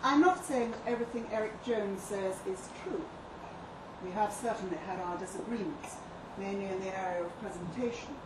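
A woman's voice: mid-range voice, normal speed, close to an everyday voice. A woman playing a prim, indignant upper-class English mother with a scornful manner, in a live story reading. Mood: prim disapproval. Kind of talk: dramatic monologue read at a live story event. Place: live reading in a pub function room. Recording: echoey room, distant mic, noisy ambience.

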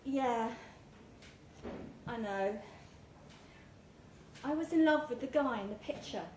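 A woman's voice: a little high, lively intonation, slow pace, a little mellow. A woman reader voicing a restless, guarded woman traveller with a hesitant manner in a story. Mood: guarded, confiding. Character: restless, guarded woman drifter from England, awkwardly chatty. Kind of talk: character dialogue in a live story reading. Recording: roomy live recording with background noise.

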